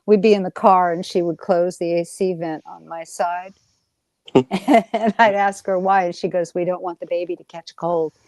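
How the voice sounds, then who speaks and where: An older woman from the United States, chatting on a livestream panel and telling stories in a reflective way, with gentle advice. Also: fairly low pitch, fairly even intonation, normal speed, no echo. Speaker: panel co-host. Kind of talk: casual livestream panel chat.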